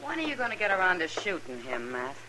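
A woman's voice: fairly low pitch, big pitch swings, fast talker, sharp tone, rough recording. An American actress playing a protective, straight-talking frontier town woman in a hissy 1950s radio western. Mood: wry.

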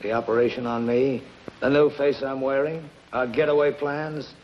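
A man's voice: medium pitch, steady tone, moderate pace, natural voice. Guy doing cold terse gangster on the run, old 50s crime show, muffled with some hiss. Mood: cold, ruthless. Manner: terse, hard-boiled. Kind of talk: 1950s television crime drama acting. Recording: muffled, hissy 1950s TV soundtrack.

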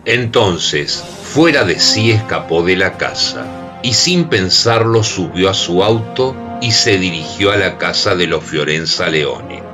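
A man narrating a radio drama in a measured, dramatic storytelling manner. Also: low-pitched.

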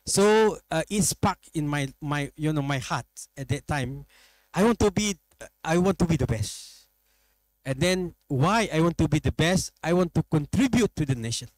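A middle-aged man from Malaysia, a professor answering interview questions, speaking haltingly and restarting his phrases. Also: fairly high pitch, animated, dry recording, rough recording. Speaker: guest professor. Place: studio set.

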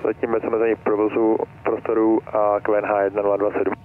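A male air traffic controller rattles off clipped instructions quickly in a lowish voice. He is heard over a narrow, tinny aircraft radio. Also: monotone. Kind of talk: clipped aviation radio phraseology.

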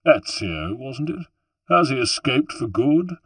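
Male reader plays a gossipy nobleman, voice lifted higher, husky tone. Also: very animated, slow pace, no room sound, exaggerated voice. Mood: inquisitive. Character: curious male Renaissance nobleman.

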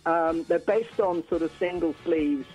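Guy from a band being interviewed on radio, bit high voice, stumbles into sentences, faint music under him. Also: unhurried, darkish tone, poor audio. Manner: hesitant, repeats words as he starts phrases. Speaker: band frontman, interview guest. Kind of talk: casual radio interview chat.

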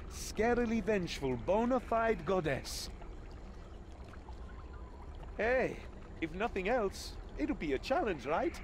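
A man's voice: fairly high pitch, background noise. A man voicing a cocky, teasing young adventurer hero in a video game, playful and theatrical.

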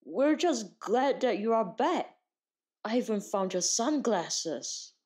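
A woman playing a gentle, hesitant pink creature in a cartoon comic dub, speaking tentatively. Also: on the high side, sing-song, slow pace. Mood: awkwardly gentle. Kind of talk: animated comic dub acting.

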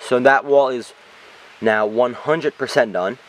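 A man's voice: medium pitch, unhurried, poor audio. A young man giving plain, matter-of-fact commentary as he works outdoors in a forest, recorded on a camera mic. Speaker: bushcraft vlogger. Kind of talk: casual narration while working. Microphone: camera mic on a tripod or handheld.

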